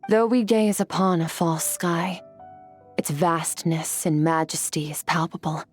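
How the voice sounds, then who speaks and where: An actress playing a cool, ageless woman warrior, calm, detached and philosophical. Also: measured pace, faint music behind, lightly acted. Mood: contemplative. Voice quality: husky, low and composed. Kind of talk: video game voice acting.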